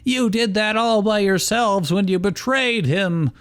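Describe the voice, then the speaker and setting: A man's voice: high-pitched, unhurried, a bit bright, clear, resonant tone, voice pushed up. A man voicing a self-righteous female rabbit creature with a scoffing manner in a fan story reading.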